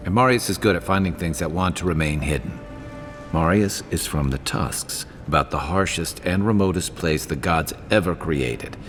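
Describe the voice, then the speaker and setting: Very deep, dry seasoned companion. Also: male voice, long reverb tail. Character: gruff, seasoned male companion, pragmatic and dry. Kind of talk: scripted video game dialogue.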